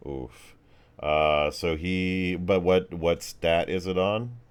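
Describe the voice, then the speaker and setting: A man's voice: low-pitched, steady tone, slow, a little hiss or hum. A man acting as game master, narrating a tabletop game in casual, slangy language with vivid description.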